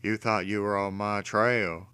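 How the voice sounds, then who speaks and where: A man voicing a sneering, gloating gangster villain in a low-volume, menacing growl for a storybook reading. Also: fairly even intonation, measured pace, smooth, no room sound. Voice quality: growling, hushed.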